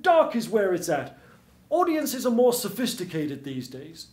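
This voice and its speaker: A man's voice: sits high, huge pitch swings, a little slow, a little mellow, voice pushed up, exaggerated voice. A man voicing a pushy old Hollywood agent with a brash, grandiose showman's patter. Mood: hyping. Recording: roomy stage sound.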